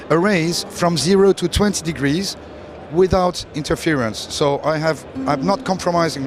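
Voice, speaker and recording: A man giving an unscripted, technical product presentation as a company representative, explaining things in a halting way. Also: a little high, noisy background. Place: exhibition stand at a trade show. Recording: busy trade-show hall with crowd murmur.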